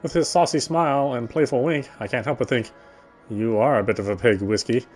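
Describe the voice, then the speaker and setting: A man's voice: mid-range voice, a little fast, a little mellow. Man reading a visual novel over game music. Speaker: game player reading narration aloud. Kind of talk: let's play, reading visual novel text aloud.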